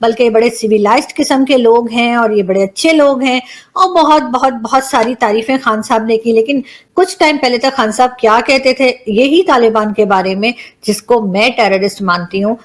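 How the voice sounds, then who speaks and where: High-voiced sarcastic Pakistani woman. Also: normal speed. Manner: mocking, sarcastic. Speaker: commentator presenting a show. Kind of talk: conversational political commentary to viewers.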